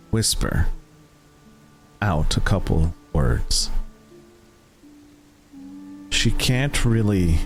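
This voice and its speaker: A man narrating a tabletop roleplaying game as game master, in a deliberate, suspenseful way with long dramatic pauses. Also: low voice, vocal fry.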